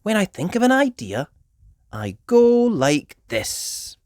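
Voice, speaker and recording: Woman as reassuring mother pig, lively intonation. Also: medium pitch, slow pace, dry recording, studio quality, voice pushed up. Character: Mummy Pig, encouraging mother pig and writer. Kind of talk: children's storybook character voice.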